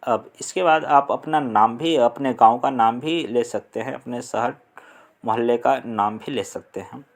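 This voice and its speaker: A young man from India with a Hindi accent, explaining an English lesson slowly and deliberately, pausing on fillers. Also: mid-range voice, vocal fry. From Uttar Pradesh, India. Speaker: English teacher.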